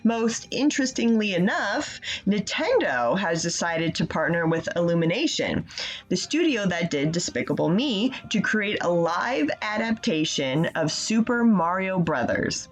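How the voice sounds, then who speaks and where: A woman's voice: lowish voice, very animated, a little slow. A woman presenting scripted video game news as a regular segment contributor on a casual show.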